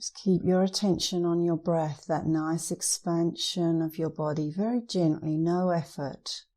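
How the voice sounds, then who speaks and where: A woman meditation instructor leading a guided meditation in a soothing, gentle, unhurried manner. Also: lowish voice, fairly even intonation.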